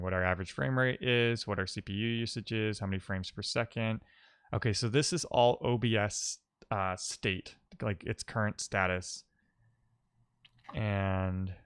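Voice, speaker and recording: A man's voice: on the low side, fairly bright tone, smooth. A man live-streaming a coding session, casually thinking aloud and muttering as he troubleshoots, with wry asides. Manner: muttering to himself while troubleshooting.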